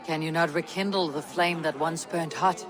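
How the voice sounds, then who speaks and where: A woman's voice: low voice, fairly even intonation, moderate pace, over music, close to an everyday voice. An actress playing a loyal, steadfast Viking warrior woman, direct and wry, in video game dialogue. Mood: wry, friendly. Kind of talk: dramatic game cutscene dialogue.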